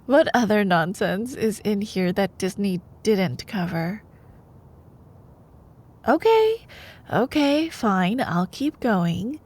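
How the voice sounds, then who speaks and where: Animated, unhurried woman reading bedtime stories. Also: mid-range voice. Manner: playful, expressive. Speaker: bedtime story narrator playing a girlfriend. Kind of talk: ASMR storytelling with playful commentary.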